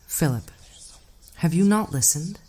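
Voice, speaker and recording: A woman acting in an audio drama as an enigmatic hitchhiker, an unflappable collector who speaks in a measured, cryptic, knowing way. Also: low-pitched, lively intonation, mellow, breathy edge, full character voice. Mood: sly menace.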